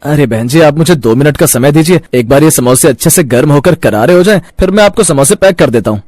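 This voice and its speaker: A man's voice: on the high side, animated, fairly quick, darkish tone, no echo, clean studio sound. A man acting a hardworking, caring street food vendor and devoted husband in a cartoon moral story, with an earnest, affectionate manner. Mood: polite, reassuring. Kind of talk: acted dialogue in a Hindi moral cartoon story.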